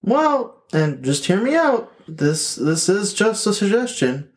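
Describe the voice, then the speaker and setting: A man's voice: high voice, very animated, slow, exaggerated voice. A man voicing a flustered husband at the door, who hesitates and stammers with lots of fillers.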